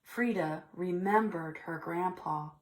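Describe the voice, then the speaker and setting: Expressive woman reading a storybook to children. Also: fairly low pitch, takes it slowly. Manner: expressive, asking the children questions. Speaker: children's librarian leading preschool storytime. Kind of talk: read-aloud storytelling with asides to children.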